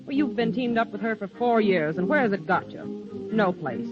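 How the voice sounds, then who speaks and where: A woman's voice: medium pitch, lively intonation, moderate pace, lightly acted. A woman playing a blunt, disapproving, jaded showgirl in old radio drama style. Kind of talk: 1930s-40s radio drama acting. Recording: dull, band-limited old radio broadcast. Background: music.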